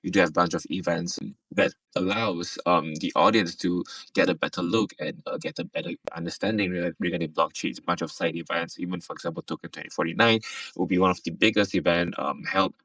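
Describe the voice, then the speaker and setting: A man's voice: sits low, steady tone, brisk, fairly bright tone, no echo. A man from Singapore who hosts an online audio space panel. He speaks in a casual, easygoing way and uses fillers. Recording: dry, distant online audio call.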